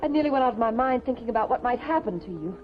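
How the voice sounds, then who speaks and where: A woman's voice: on the high side, expressive, racing, close to an everyday voice. An actress playing an earnest, anxious, bookish young woman in a 1950s television drama. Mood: anxious, pleading. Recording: dull 1950s TV soundtrack, music behind.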